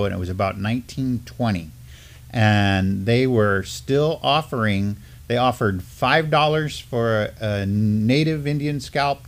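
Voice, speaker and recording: A man in his fifties speaks as co-host of a news live stream. He talks conversationally, moving between commentary and storytelling. His delivery is earnest and emphatic, with a medium pitch. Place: indoor studio at a desk with a backdrop.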